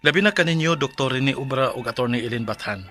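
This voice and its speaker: Man acting an earnest husband, bright voice. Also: medium pitch, fast talker, faint music behind, close to an everyday voice. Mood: earnest narrating. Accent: Filipino Bisaya. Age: 30s. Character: Mark, mild thirty-year-old husband and father, let down. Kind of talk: Philippine radio drama acting and letter narration.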